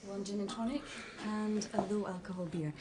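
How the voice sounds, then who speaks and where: An actress playing a polite, brisk waitress in a British radio drama. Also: female voice, medium pitch, a crowd in the background, lightly acted. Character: polite waitress serving in a bar restaurant.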